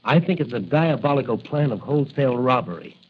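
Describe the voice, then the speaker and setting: An actor playing an earnest, resolute young cop who fights crime in a mask, in deliberate, declamatory old radio style. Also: male voice, mid-range voice, animated, a little hiss or hum, close to an everyday voice. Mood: grave, resolute. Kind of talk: 1940s radio adventure acting. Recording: muffled vintage radio recording.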